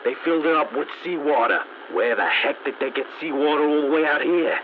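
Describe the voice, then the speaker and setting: A man's voice: on the high side, a little fast, natural voice. Male actor as a weary, resourceful stranded mechanic, wry game audio log; muffled, static-laced recording. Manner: wry, dry humor.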